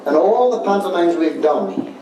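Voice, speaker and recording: A man's voice: high-pitched, animated, moderate pace, a loud crowd. A man giving an informal, motivational speech to a group as a director, emphatic and repeating his key points. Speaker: pantomime director addressing the cast. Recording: very echoey room, distant mic, noisy.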